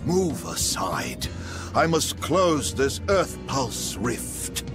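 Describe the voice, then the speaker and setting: A man's voice: medium pitch, huge pitch swings, drawn-out words, full character voice. A man playing a cold, menacing master illusionist with a haughty, theatrical delivery in a game cutscene. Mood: commanding. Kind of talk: dramatic game villain acting. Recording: loud music under voice.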